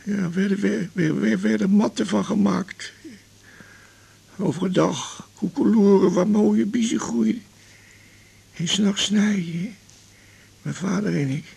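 Bitter old guy in his 80s, very creaky high husky voice, stammers, radio play. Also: a little mellow, exaggerated voice. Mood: wistful, reminiscing. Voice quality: gravelly, husky. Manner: halting, stammering, repeating words. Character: bitter, stubborn old man weary of life. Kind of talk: naturalistic radio drama acting.

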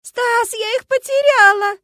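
A woman's voice: very high voice, fast talker, no echo, clean studio sound, pitched above the performer's own voice. A woman voicing a panicked, crying woman who talks in a frantic, tearful rush, in an audiobook. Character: panicked sobbing woman chasing a car. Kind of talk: audiobook character voice.

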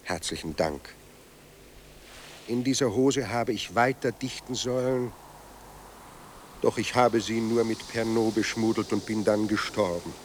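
An actor playing a sardonic, bitter dead writer, newly sober, speaking with ironic, theatrical eloquence in a radio drama. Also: male voice, fairly low pitch, a little slow, long pauses, slight background noise, natural voice. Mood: scornful.

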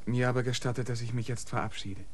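Ein Schauspieler spielt in einem Hörspiel einen bescheidenen Boten, der ruhig und höflich spricht. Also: male voice, mid-range voice, fast talker, lightly acted. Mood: calm polite. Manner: calm, polite, modest. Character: humble young male messenger, polite and modest. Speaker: messenger character. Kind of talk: radio play acting.

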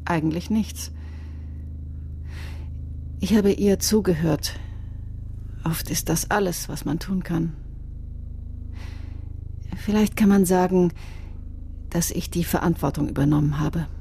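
Solemn psychic woman, lowish pitch, dark soft timbre, measured grave delivery, radio crime drama, faint background noise. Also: vocal fry. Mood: solemn, mysterious. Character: solemn, soulful French female psychic medium in crime drama.

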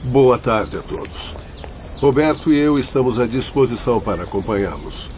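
A male actor plays a courteous, formal guide in a radio drama. His mid-pitched voice is slightly dull, with faint birdsong behind. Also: animated, moderate pace, a little mellow, slight background noise, close to an everyday voice. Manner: formal, polite. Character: courteous, confident male guide of spirit realm. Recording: dull lo-fi, outdoor birdsong ambience.

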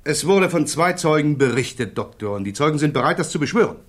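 An actor playing a probing, insistent prosecuting counsel in a German radio drama, speaking formally and precisely. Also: male voice, on the high side, lively intonation, fast talker. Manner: formal, precise, pressing interrogation.

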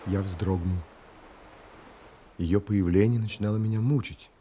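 A man's voice: on the low side, fairly even intonation, unhurried, dark tone, slight background noise, natural voice. An actor playing a proud, indignant castaway who narrates his sea adventure in an audio drama, dramatic and literary. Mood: tense narration.

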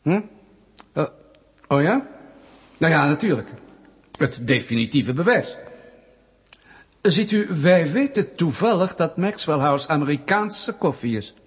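A man's voice: a little high, lively intonation, unhurried, long pauses, breathy edge. An actor playing a suspicious, smug state security interrogator in a radio play, pedantic and sardonic as he presses his questions. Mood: smug, irritated. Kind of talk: Dutch radio play acting.

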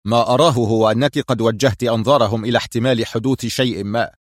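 A man's voice: mid-range voice, fairly even intonation, quick pace, no room sound, studio quality, natural voice. A man playing a stern, mysterious boss of a criminal organisation, curt and firm, in a story reading. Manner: curt, firm, cold authority.

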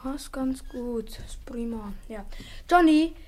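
A woman's voice: a little high, slow, a little mellow, slight background noise. A woman acting a serious, businesslike schoolboy, a critical stage painter, in a radio play. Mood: appraising.

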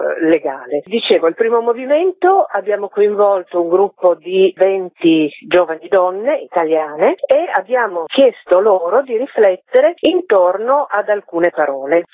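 A woman interviewed on the radio as a theatre practitioner, explaining in a measured, orderly way. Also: lowish voice, dry recording. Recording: tinny phone line on radio.

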